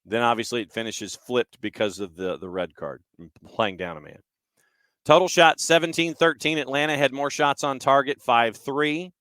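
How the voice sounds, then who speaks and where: A man's voice: medium pitch, lively intonation, normal speed, a bit bright, no room sound, clean studio sound. A man hosting a sports show, talking through match analysis and statistics conversationally in short, clipped fragments.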